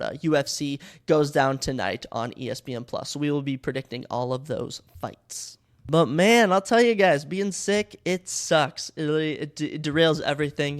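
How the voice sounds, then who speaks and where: A young man with a slightly higher voice hosts his own sports podcast, talking loosely and rambling with lots of fillers. His nose is stuffed up from a cold, which gives every line a blocked, congested sound. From Minnesota. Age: young adult. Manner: rambling, talkative, many fillers and restarts. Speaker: podcast host. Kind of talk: casual solo sports podcast.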